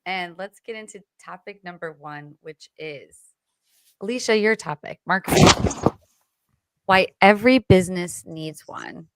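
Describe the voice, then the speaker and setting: A woman's voice: fairly low pitch, a little slow, slight background noise. A woman from Illinois hosting a live stream panel, upbeat and effusive as she leads the talk over a video call.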